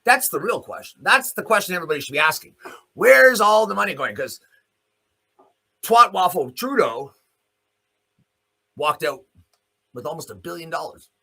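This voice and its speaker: A man's voice: on the high side, sing-song, moderate pace, gravelly, long pauses, sharp tone. A man hosting a news commentary show, ranting off the cuff in a blunt, sardonic, profane manner. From Canada. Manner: blunt, sardonic, profane, with pointed rhetorical questions. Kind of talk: opinionated rant, spoken off the cuff.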